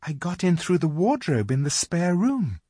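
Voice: medium pitch, big pitch swings, normal speed, warm tone, slightly rough, no echo, studio quality, voice pushed up. A reader voicing a polite young girl who is earnest and a little puzzled. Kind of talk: audiobook reading.

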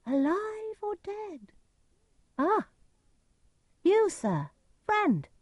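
A woman's voice: very high-pitched, sing-song, drawn-out words, a little mellow, dry recording, pitched above the performer's own voice, exaggerated voice. A woman voicing a nobleman's son disguised as a strange helper, exclaiming and coaxing in a storybook tale. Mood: astonished, coaxing.

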